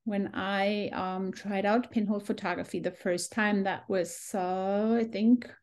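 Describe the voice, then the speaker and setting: A woman's voice: mid-range voice, fairly even intonation, unhurried, a little mellow. Chatty German woman teaching photography. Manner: chatty, frequent ums, enthusiastic. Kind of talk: live online presentation to a small group. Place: home room on a video call. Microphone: video call computer mic.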